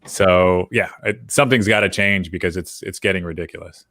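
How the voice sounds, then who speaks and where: A man in his thirties with an American accent hosts a casual podcast. His voice is on the low side with a bright, forceful edge, recorded dry and close. He talks informally, like someone sharing an anecdote. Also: steady tone, fairly quick, no echo. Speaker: podcast host. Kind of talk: casual chat. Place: indoor room with brick wall. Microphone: close desk microphone on a video call.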